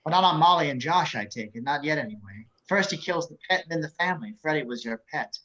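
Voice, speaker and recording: A cultured, menacing male psychiatrist killer, acted by a man in a drama read over a video call. His voice sits a little high, and he taunts in measured phrases with theatrical pauses. The sound is dull and lo-fi. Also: expressive, a bit bright. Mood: taunting.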